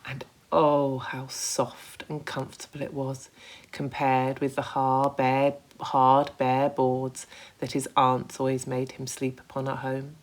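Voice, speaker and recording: A woman reading a children's story aloud with expressive narration, like a primary school teacher. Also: rumbling low, takes it slowly.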